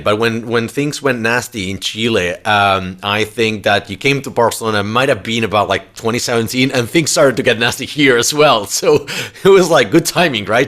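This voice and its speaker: A man's voice: medium pitch, fairly bright tone. A man hosting a podcast interview, chatty and blunt, with frequent fillers and restarts. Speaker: podcast host.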